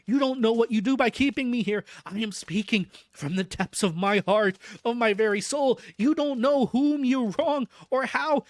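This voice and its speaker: A reader doing a frantic man locked in an asylum, pleading and sobbing his case in a raised, strained voice that leaps high and wide. He begs without pause in a dry, close storybook reading. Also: no echo, close to an everyday voice. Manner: desperate, begging, voice cracking upward.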